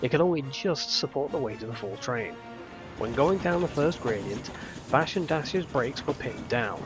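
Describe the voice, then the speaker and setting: Animated male storyteller over music. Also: medium pitch, moderate pace. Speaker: narrator. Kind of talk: scripted storybook narration.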